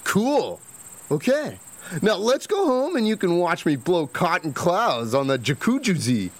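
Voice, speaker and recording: A man's voice: high voice, big pitch swings, unhurried, heavily acted. A man playing a cocky, slangy, boastful livestreamer in a sci-fi comedy audio drama. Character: obnoxious, boastful young male livestreamer and vape bro.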